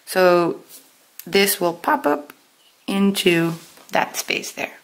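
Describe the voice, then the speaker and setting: A woman teaching a step-by-step lesson in a deliberate, instructional way, pausing and stressing key terms. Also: on the low side, animated, gravelly, rough recording. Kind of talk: step-by-step lesson with pauses for note-taking.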